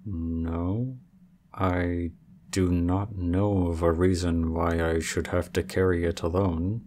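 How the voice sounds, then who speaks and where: A man's voice: deep voice, level tone, slow, warm tone, slight background noise. A man playing a proud, aloof male dragon in human form, speaking formally and with cool reserve in an ASMR roleplay. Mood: reserved, reflective.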